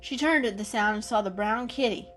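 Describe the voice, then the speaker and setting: A woman's voice: medium pitch, a little fast, soft background music. A woman giving a scripted, dramatic storybook narration as the storyteller.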